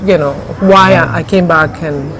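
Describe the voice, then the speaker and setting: A woman's voice: low voice, moderate pace. A middle-aged woman from Ghana, answering interview questions in a thoughtful, hesitant way with many fillers. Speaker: interview guest, café co-founder. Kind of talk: conversational interview. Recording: noisy background.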